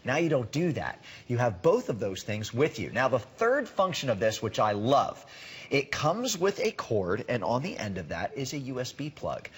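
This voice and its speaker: A man's voice: medium pitch, big pitch swings, moderate pace, husky. A man giving a live home shopping demonstration as a product expert, explaining conversationally and emphatically with repeated words for stress.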